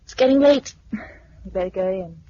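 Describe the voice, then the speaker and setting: Actress doing a resolute young british lady in an old radio play, bit husky, muffled recording. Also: medium pitch, moderate pace, mellow, close to an everyday voice. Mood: tender, relieved. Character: Gisela, pragmatic, resolute young sighted woman in love. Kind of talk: 1950s British radio drama acting.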